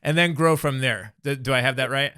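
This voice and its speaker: A man's voice: medium pitch, a little fast, pushed and bright, clear, resonant tone, no echo, clean studio sound. A man hosting a podcast, upbeat and affirming, paraphrasing his guest's answers back to him. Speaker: podcast host. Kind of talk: friendly interview, podcast outro.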